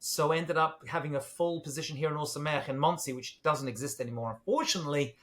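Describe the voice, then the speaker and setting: Middle-aged Londoner with a British accent, a bit higher voice, casual guest talk. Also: male voice, fast, fairly bright tone. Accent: British English, London. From England. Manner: self-deprecating, jokey, with fillers. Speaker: rabbi, guest.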